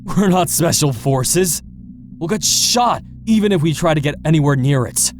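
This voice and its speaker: A man's voice: on the high side, lively intonation, moderate pace, faint music behind. Irritable, blunt young man. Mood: exasperated, defiant. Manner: exasperated, blunt. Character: irritable, bitter, foul-mouthed young man.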